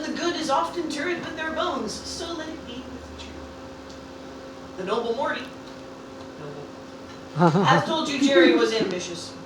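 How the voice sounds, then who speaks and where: A roomy, distant, noisy recording of an amateur radio play. A woman plays a grieving, vengeful wife with a highish voice, declaiming mock-Shakespearean lines with theatrical weight. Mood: bitter oratory.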